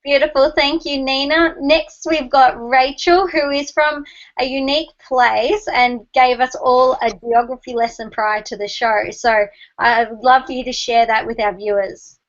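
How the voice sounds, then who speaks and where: A woman's voice: high voice, fairly even intonation, a little slow. A woman hosting a web show, introducing guests in an upbeat, welcoming way over a video call. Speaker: host. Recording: dull lo-fi video call audio.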